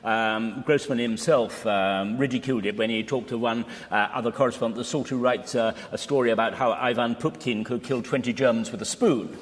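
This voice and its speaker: A man speaking as a historian on a panel, explaining in a measured, hesitant way. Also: mid-range voice, fairly even intonation. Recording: reverberant hall.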